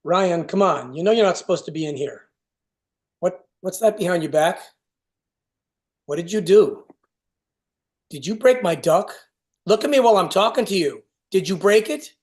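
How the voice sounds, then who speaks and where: A man's voice: high voice, animated, a little mellow. Guy acting strict angry dad in a play reading, scolding, voice jumps all over. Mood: stern. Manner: hectoring, rapid-fire questions. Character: stern, short-tempered father scolding his young son. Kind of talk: virtual staged play reading.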